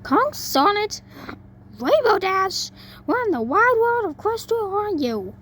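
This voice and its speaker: A woman's voice: very high-pitched, sing-song, slow, voice pushed up, exaggerated voice. A woman voicing a brash, exasperated but caring cowgirl pony in a story reading, with a folksy, scolding manner. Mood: calling, exasperated. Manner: folksy, scolding, calling out loudly. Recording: noisy amateur home recording.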